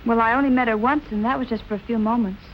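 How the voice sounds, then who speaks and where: Actress as anxious noir girlfriend, talks fast, kinda high voice, muffled old movie sound. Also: fairly even intonation, slight background noise, close to an everyday voice. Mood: uneasy. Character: earnest, anxious young fiancée in a noir film. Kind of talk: 1940s film noir acting.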